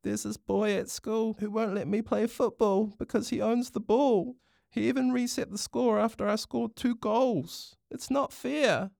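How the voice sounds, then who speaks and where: Man voicing high-pitched, indignant young schoolgirl. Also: expressive, a little slow, hardly any pauses, fairly bright tone. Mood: upset, indignant. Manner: indignant, plaintive. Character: young schoolgirl, defiant, upset by unfairness.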